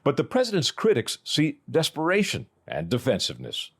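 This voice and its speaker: An American male reporter narrates a news story from a script. His voice sits at a medium pitch, and he delivers each line as formal broadcast narration. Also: huge pitch swings, a little fast, a bit bright, slightly rough.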